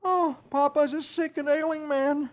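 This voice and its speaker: Super high whiny hammy husband from the funnies, strained squeaky voice, muffled old radio sound. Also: male voice, steady tone, unhurried. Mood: groaning, sickly. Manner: exaggerated moaning and exclaiming. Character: whiny hammy lazy suburban husband, comic strip. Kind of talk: 1950s radio funnies reading.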